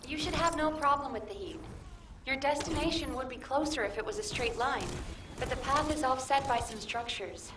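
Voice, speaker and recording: Support operator for a game, female actor: high voice, watchful, measured, precise, faint noise behind. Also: lightly acted. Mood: analytical. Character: composed female mission operator, analytical and watchful. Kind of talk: video game support character acting. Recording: echoey, distant game audio, faint noise.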